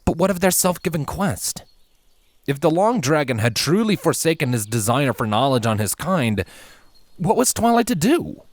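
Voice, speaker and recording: A man reading scripted fantasy fan fiction aloud as a narrator, in measured, expressive storytelling. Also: on the high side, no echo. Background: background music.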